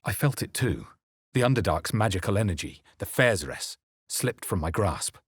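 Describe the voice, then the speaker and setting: A man playing a brooding fantasy hero who narrates his own adventure for a video game, solemn and deliberate, with a husky voice. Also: lowish voice, big pitch swings, no room sound, studio quality, full character voice. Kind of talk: scripted first-person game narration.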